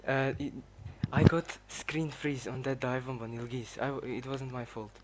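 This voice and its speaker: A man chatting on a voice call as a race driver, speaking quietly and hesitantly with many fillers. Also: medium pitch, steady tone, normal speed, heavy background noise. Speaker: race driver joining on voice chat. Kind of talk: casual voice-chat talk.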